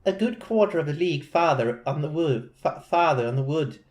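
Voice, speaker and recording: Man playing a little girl, high voice, put-on British accent, sweet, innocent. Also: lively intonation, fairly quick, a little mellow, lightly acted. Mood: chirpy.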